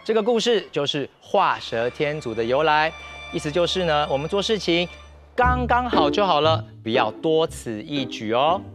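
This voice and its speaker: Young Taiwanese-accented man, kids' TV storyteller; high, very lively intonation. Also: normal speed, music in the background. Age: young adult. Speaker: children's TV storyteller. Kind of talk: animated children's story narration. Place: TV studio set.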